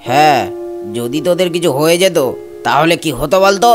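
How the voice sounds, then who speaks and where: A man voices a blunt villager in a cartoon ghost story. His high voice is scornful and dismissive, and music plays clearly behind it. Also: animated, normal speed, close to an everyday voice. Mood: scolding. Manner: scornful, chiding. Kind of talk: animated horror story acting.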